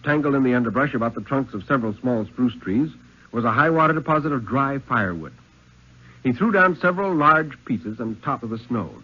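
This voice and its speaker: A man reading a thriller story aloud in a 1950s dramatic radio style, with suspenseful, measured phrasing and deliberate pauses. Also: medium pitch, slightly rough. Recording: muffled, lo-fi 1950s radio recording.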